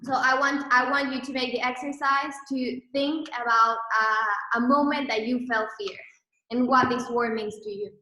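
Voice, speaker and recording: A young woman from Venezuela, a Spanish speaker, gives a talk in halting English with a Venezuelan accent. Her voice sits fairly high and sounds bright and crisp. The room around her is echoey. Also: steady tone. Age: 20s. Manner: halting, many restarts and fillers. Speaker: guest speaker, brand co-founder. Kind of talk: online presentation.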